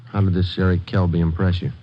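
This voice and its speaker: A man's voice: sits low, flat delivery, quick pace, close to an everyday voice. A man playing a terse, no-nonsense American police detective in a 1940s radio drama, clipped and matter-of-fact. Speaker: lead actor and narrator of a police radio drama. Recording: dull vintage radio recording with music.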